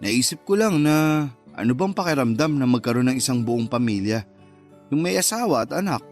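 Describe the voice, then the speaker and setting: A man's voice: mid-range voice, lively intonation, moderate pace, faint music behind, lightly acted. A man playing the wistful, sulky lover of a married woman in a Tagalog radio love drama, casual and understated. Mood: pensive.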